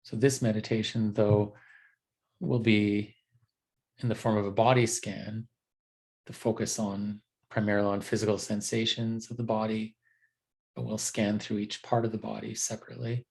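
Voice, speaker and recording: A man leading a guided body scan meditation as a teacher, speaking gently and unhurriedly in a halting, unscripted way with frequent pauses. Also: lowish voice, level tone, vocal fry.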